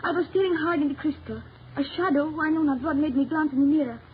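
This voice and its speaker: An actress playing a frightened, insistent young woman in an old-time radio melodrama, halting and emphatic, on a tinny recording. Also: high voice, close to an everyday voice. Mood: anxious, haunted. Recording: tinny, narrow, hissy vintage radio recording.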